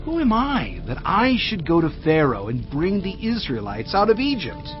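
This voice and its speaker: An actor playing Moses as a hesitant, doubting prophet who pleads and questions. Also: male voice, high-pitched, wildly swooping pitch, moderate pace. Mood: pleading. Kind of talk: dramatized scripture reading. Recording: muffled, over loud cinematic music.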